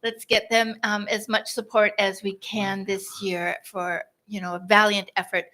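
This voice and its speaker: Bright, enthusiastic woman. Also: mid-range voice, level tone, a little slow. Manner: enthusiastic, chatty. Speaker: congregant making an announcement.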